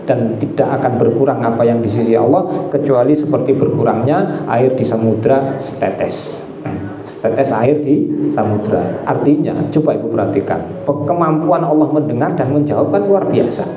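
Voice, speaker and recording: A man's voice: medium pitch, moderate pace, background noise. A man giving a conversational religious study talk to an audience. He tells stories, asks his listeners questions and repeats key phrases. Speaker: Islamic preacher. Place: hall with a live audience. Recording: echoey room with an audience.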